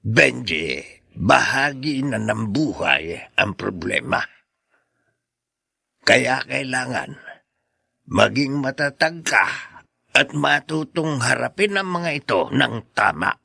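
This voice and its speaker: A fatherly older man with a very gravelly, forceful voice. He speaks slowly and wisely in a Filipino accent, as in a radio drama. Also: medium pitch, sing-song, dry recording, clean studio sound, exaggerated voice. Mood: kindly, encouraging. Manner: measured, fatherly. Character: kindly, wise older man encouraging a boy.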